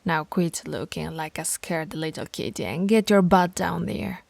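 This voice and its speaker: A woman voicing a stern, commanding female werewolf pack alpha in an ASMR audio roleplay, bossy and scolding, sometimes dropping to soft whispers. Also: sits low, a little slow, gravelly, no room sound, full character voice.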